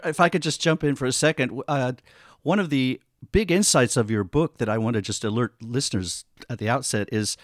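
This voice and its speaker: A man's voice: medium pitch, no room sound, studio quality. A man interviewing an author, asking long, winding questions. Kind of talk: podcast interview.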